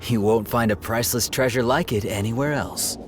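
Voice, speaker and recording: A man's voice: mid-range voice, fairly quick, natural voice. An actor playing a boastful mouse thief in a video game, a man with a grandiose, sly, blustering delivery. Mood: wheedling. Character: boastful, theatrical male mouse thief, self-styled prince. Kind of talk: video game cutscene acting. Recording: game music behind the voice.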